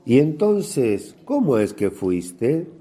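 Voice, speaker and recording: Male Pharisee, radio drama: probing, insinuating, very animated intonation, dark low tone. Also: slow pace. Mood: suspicious, probing. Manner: questioning, insinuating. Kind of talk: religious radio drama acting.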